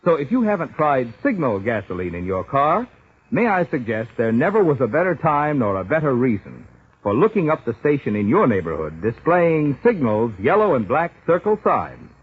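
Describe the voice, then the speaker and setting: A man's voice: mid-range voice, wildly swooping pitch, normal speed, breathy edge. A man reading a 1940s radio sponsor announcement with an emphatic salesman's delivery. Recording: muffled vintage radio recording.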